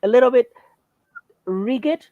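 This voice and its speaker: A man's voice: very high voice, a little slow, dry recording, phone-quality sound. A man invited as an education expert in an online discussion, explaining things in a hesitant, affirming way with frequent restarts over a video call.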